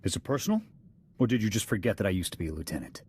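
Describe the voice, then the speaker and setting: A man's voice: fairly low pitch, expressive, very fast, a little mellow, husky. A man playing a proud, resentful soldier in a video game, speaking in a bitter, accusing way. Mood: bitter, indignant. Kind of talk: scripted video game dialogue.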